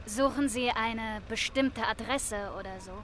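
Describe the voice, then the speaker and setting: A nosy small-town local in a radio crime play. She sounds polite and chatty in a bright, slightly high voice. A faint car and street ambience runs behind her. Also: a little slow, slight background noise. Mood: curious, wary. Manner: inquisitive, chatty. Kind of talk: radio crime drama acting.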